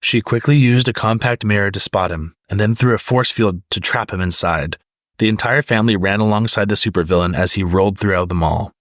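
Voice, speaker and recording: Male storybook narrator, lowish pitch, close dry recording, reading aloud for young children. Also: a little fast, a bit bright, dry recording. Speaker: storybook reader.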